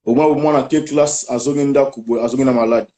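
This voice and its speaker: Mellow-voiced interview host. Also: male voice, mid-range voice, fairly even intonation, a little fast. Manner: switching between French and Lingala. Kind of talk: casual live interview.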